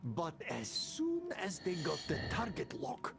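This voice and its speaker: Cheeky, animated wasteland gunman. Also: high-pitched, a little slow, creaky, exaggerated voice. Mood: storytelling. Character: cheeky, joking male wasteland gunman, tale-teller. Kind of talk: video game cutscene banter.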